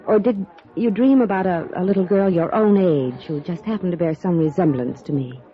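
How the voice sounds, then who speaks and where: A woman's voice: on the low side, moderate pace, close to an everyday voice. An actress playing a poised, earnest young woman, forward yet politely formal, in 1950s radio drama style. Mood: intent, curious. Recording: dull vintage radio recording, sometimes telephone.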